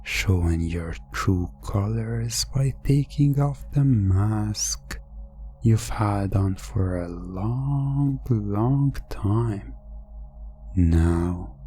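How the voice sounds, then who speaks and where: A man narrating a scripted hypnosis ASMR story in a hushed, deliberate, dramatic manner. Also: lowish voice, a little hiss or hum. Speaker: narrator of a hypnosis audio roleplay.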